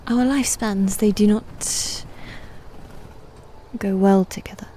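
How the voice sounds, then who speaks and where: A guarded female demon knight, acted by a woman for an ASMR roleplay, speaks in a soft, mellow voice that often drops toward a whisper. The delivery is slow and hesitant, with stiff, formal phrasing and a reserved temper. A faint ambience sits behind the voice. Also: mid-range voice, fairly even intonation, a little hiss or hum, natural voice. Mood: reluctant, grave.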